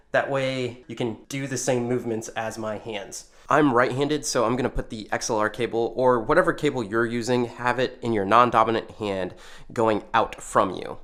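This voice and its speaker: A man's voice: mid-range voice, a bit bright. A man giving an informal hands-on demonstration, talking through each movement step by step. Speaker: tutorial presenter. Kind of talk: casual hands-on instructional demonstration.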